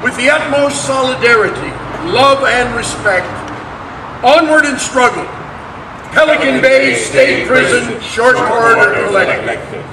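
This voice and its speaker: A man's voice: very high voice, measured pace, over a noisy crowd. A man giving a solemn, declamatory dramatic stage reading. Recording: roomy distant recording with music underneath.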